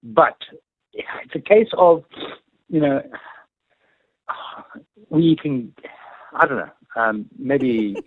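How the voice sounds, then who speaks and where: A man's voice: mid-range voice, animated, drawn-out words, long pauses, no room sound. A man calling in to a radio show, rambling and hesitant with chuckles. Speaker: radio caller. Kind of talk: phone-in chat. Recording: tinny phone line.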